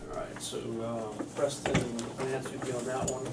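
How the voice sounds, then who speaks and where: A man's voice: medium pitch, flat delivery, moderate pace. A man casually directing a voice recording session, heard on a noisy, distant behind-the-scenes recording.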